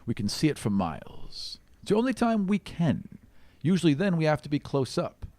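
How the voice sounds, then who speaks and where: Fantasy tabletop role: male game master as self-assured dwarf seeker, formal, calmly authoritative, animated intonation. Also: fairly high pitch, no room sound, voice pushed up. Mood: explaining calmly. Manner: measured, formal, faintly condescending.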